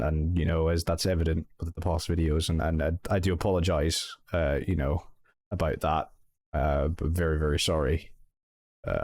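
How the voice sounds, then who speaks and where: A young Scottish man talking hesitantly and sincerely in a personal vlog update, full of restarts and thanks. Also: deep voice. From Scotland. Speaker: channel owner and roleplay group member.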